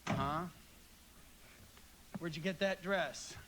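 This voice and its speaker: Brief: stubborn young American suitor, high voice, hesitant and stammering, noisy live radio-play recording. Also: male voice, slow, fairly bright tone. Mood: awkward. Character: flustered, stubborn young man dodging courtship.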